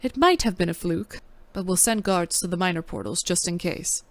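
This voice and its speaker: A woman in a fan dub playing a composed, authoritative mentor who explains things in a measured way. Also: lowish voice, no echo, close to an everyday voice. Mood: calm, explaining. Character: composed, authoritative adult female mentor, patient and stern.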